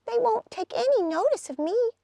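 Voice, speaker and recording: A woman's voice: very high voice, animated, normal speed, gravelly, dark tone, dry recording, clean studio sound, voice pushed up, full character voice. A woman voicing a sad, fretful little lizard in a storybook, plaintive and complaining as it begs for help. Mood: miserable, pleading.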